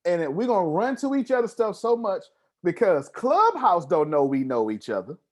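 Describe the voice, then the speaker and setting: Male motivational coach, higher-pitched and swooping, informal, emphatic delivery to an online group. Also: big pitch swings, normal speed. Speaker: host and business coach leading a group call. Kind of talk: animated motivational coaching talk. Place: online group video call.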